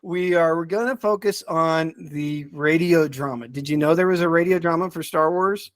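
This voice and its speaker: Male podcast guest, slightly high voice, dry close sound, enthusiastic and chuckly in casual conversation. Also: moderate pace, no room sound. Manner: enthusiastic, chuckles while talking. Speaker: podcast guest presenting a ranked list.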